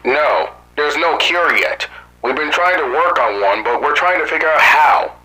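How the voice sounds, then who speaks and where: A man playing a wary human guard at a laboratory in an amateur animated drama, with a tense, halting delivery. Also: on the high side, a little hiss or hum, rough recording. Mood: grave, explaining.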